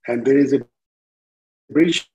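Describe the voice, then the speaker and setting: A man in his sixties with an Indian accent introducing and reciting his own poems deliberately, in short phrases with pauses. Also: medium pitch, mellow, muffled recording. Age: 60s. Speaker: poet reading own work. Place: indoors at home on a video call. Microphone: video-call device microphone.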